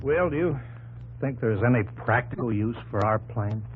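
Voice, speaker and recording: An actor playing an earnest, determined young inventor in a 1950s radio drama, plain-spoken in manner. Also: male voice, mid-range voice, normal speed, faint music behind, lightly acted. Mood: musing. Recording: tinny, faintly noisy 1950s radio broadcast.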